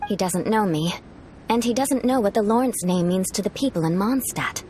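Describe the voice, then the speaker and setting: A woman's voice: mid-range voice, lively intonation, moderate pace, very noisy, close to an everyday voice. A woman voicing a proud, poised noblewoman knight in a video game, with a composed, refined manner. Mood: hesitant, confiding. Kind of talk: English game voice acting.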